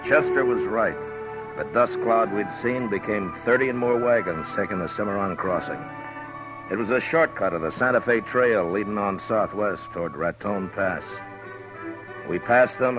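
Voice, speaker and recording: A man's voice: on the low side, lo-fi sound, natural voice. A man acting a stern, weary frontier lawman who narrates his story tersely in a 1950s radio western. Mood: easy, matter-of-fact. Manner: terse, grave, deliberate storytelling. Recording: vintage 1950s radio recording with music.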